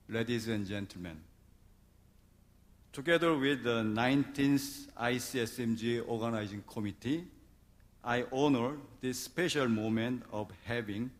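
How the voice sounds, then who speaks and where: A man delivering a formal opening address as a conference organizer, speaking deliberately phrase by phrase. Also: medium pitch. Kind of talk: formal ceremonial speech.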